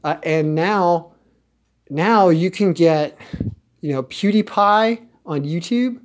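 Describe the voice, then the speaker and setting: A man's voice: sits high, slow. A man ranting in a loose, joking way, laughing now and then and restarting his sentences. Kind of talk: casual rant.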